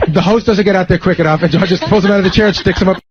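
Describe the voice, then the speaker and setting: A man's voice: high voice, fairly even intonation, very fast. An American man on a radio show staff, telling things in casual chat. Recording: muffled, noisy radio recording.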